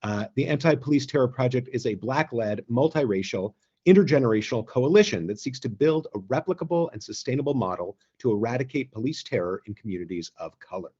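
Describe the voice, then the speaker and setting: A man co-hosting a theatre company's online show, making casual announcements in a halting, conversational way. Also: mid-range voice, a little mellow, slightly rough, highs cut off.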